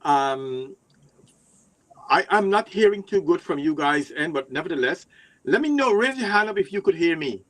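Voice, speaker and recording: A man's voice: a little high, animated, fairly bright tone. Heartfelt man on a call. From Guyana. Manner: heartfelt, slows down for emphasis. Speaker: guest, nurse and advocate. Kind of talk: casual livestream chat. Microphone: online call.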